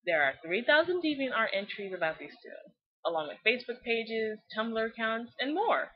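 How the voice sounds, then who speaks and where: A woman giving scripted but casual fan commentary in a blunt, slangy, opinionated way, with animated delivery. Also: on the high side, moderate pace, husky. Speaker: fan video series host. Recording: slightly dull lo-fi recording.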